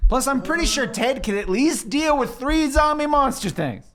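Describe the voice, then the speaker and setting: A man's voice: very high-pitched, animated, moderate pace, a crowd in the background. A man playing a tabletop game, bantering casually in an irreverent, joking manner. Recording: faint background noise and clicks.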